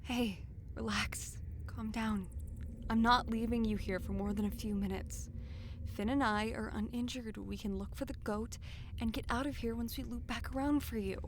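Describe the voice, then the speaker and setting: A woman playing a blunt, decisive female sergeant in a fantasy audio roleplay, speaking in a brisk, commanding manner. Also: fairly high pitch, fairly even intonation, a little hiss or hum, lightly acted. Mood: calm, reassuring.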